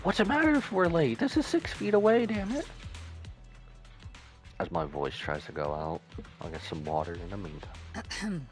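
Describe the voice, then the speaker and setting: A man's voice: medium pitch, wildly swooping pitch, normal speed, pauses often, soft background music. A man doing casual, playful commentary as he streams a video game, often exclaiming. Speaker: gaming streamer. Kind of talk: casual playthrough commentary, reading game dialogue aloud.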